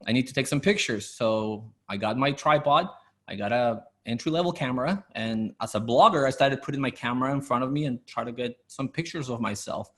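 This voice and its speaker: A man from Mexico, around his late thirties, talks through his background in a relaxed, rambling way. He fills his sentences with "you know" and "like" as he goes. His voice sits at an ordinary middle pitch for a man. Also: a little fast. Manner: rambling, conversational, many fillers. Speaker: guest entrepreneur. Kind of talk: casual interview storytelling.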